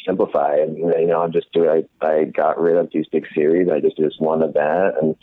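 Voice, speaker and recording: A young adult man in casual conversation as a guest, talking haltingly with many fillers and restarts. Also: very deep voice, flat delivery, dry recording. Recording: tinny phone line.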